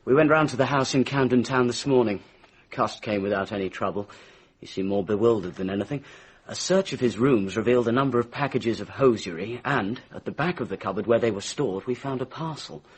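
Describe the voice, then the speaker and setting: An actor playing a self-satisfied English police inspector in a radio mystery, brisk and condescending in manner. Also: male voice, mid-range voice, slightly rough, close to an everyday voice, poor audio. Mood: matter-of-fact. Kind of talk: radio mystery drama acting.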